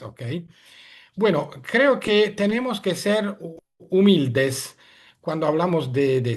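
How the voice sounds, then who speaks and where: Middle-aged guy, giving a talk on a video call, kinda high voice, stops to find words a lot. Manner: hesitant, searching for words in a second language. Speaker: guest speaker. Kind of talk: webinar lecture.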